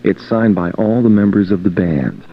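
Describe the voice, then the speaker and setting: A man's voice: sits low, animated, normal speed. A man working as a radio DJ, announcing music and reading station promos. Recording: dull lo-fi radio aircheck tape.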